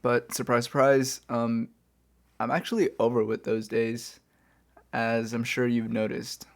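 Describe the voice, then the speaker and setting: A smug, playful supervillain , acted by a man, speaks softly at a medium pitch. He is wry and casually conversational, like a kidnapper chatting with an old rival in an ASMR roleplay. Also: little pitch movement, unhurried, natural voice. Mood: teasing, casual. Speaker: audio roleplay performer. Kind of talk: soft-spoken ASMR roleplay acting.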